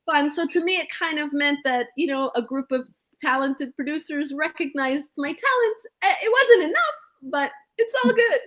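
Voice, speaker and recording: An upbeat woman singer talks through her story on a video call, now and then breaking into song. Her voice sits a little high. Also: lively intonation, moderate pace, a bit bright. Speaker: guest singer. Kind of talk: casual video-call interview.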